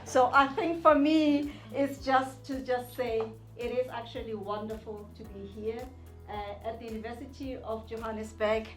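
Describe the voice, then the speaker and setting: Upbeat woman, bright high voice. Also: steady tone, moderate pace, soft background music. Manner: upbeat, uses many uhs. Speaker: visiting university representative. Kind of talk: formal speech.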